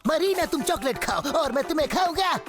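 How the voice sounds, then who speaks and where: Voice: sits high, expressive, brisk. An actor voicing a cocky, hungry male hyena villain in a Hindi cartoon dub, boastful and scheming. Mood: gloating. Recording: loud music under the voice.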